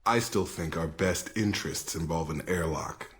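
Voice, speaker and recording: An actor playing a blunt, skeptical soldier in a video game, terse and dry. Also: male voice, low voice, moderate pace, fairly bright tone.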